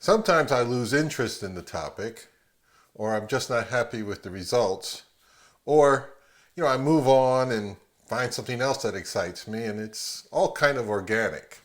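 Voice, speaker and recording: A man hosting a video, explaining things casually in an unhurried, self-deprecating way. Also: mid-range voice, expressive. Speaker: video host.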